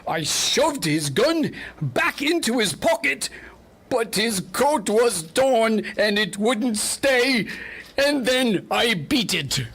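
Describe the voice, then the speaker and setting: Timid, whimpering suspect , played by a woman, radio drama. High, halting, quavering delivery at a slow pace. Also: very animated, a bit bright, slightly rough, exaggerated voice. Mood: scared, apologetic. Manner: quavering, hesitant, stammering. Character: frightened, whimpering men confessing to a detective. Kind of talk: radio play crime story acting.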